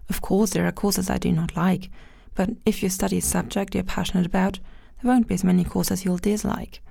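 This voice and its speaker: Gravelly-voiced teenage girl, prim and earnest. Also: medium pitch, steady tone, a little fast, warm tone, dry recording. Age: teens. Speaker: student guest. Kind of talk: scripted panel discussion.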